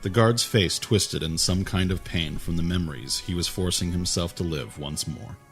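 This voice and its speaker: A man narrating a fantasy audio drama with a steady, even storytelling manner. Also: low voice, normal speed, faint music behind.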